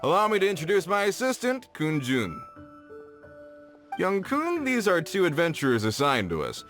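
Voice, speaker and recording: A man's voice: high voice, huge pitch swings, normal speed, fairly bright tone, soft background music, full character voice. An earnest old mining foreman. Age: older.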